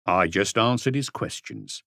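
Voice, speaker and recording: A man's voice: fairly low pitch, unhurried, creaky, pushed and bright, no room sound, studio quality, full character voice. A man voicing a weary, curt middle-aged barkeeper in an audiobook. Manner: terse, weary.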